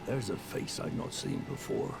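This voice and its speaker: An actor playing a grave, dutiful castle warden and father in a fantasy game, with a gravelly voice. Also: a bass voice, wildly swooping pitch, moderate pace, a little mellow, exaggerated voice. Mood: weary, bitter. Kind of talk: scripted fantasy game dialogue. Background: music.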